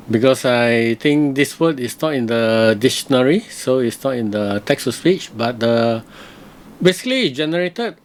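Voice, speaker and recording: A man giving casual screen-recorded software review commentary, thinking aloud in simple, hesitant phrases. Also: mid-range voice.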